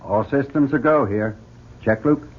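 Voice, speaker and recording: An American man acting in an old-time radio drama as a confident astronaut commander and husband, with a husky voice. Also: medium pitch, unhurried, natural voice. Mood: calm, commanding. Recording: dull vintage radio drama recording.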